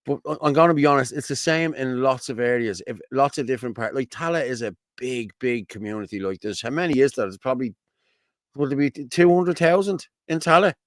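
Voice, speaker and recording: An Irish man talks on a casual livestream, drifting from point to point and circling back on himself. His voice sits at an ordinary middle pitch, and the sound is dry, with no room echo. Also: fairly quick. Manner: rambling, repeats "you know what I mean"; reads out viewer comments. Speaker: co-host.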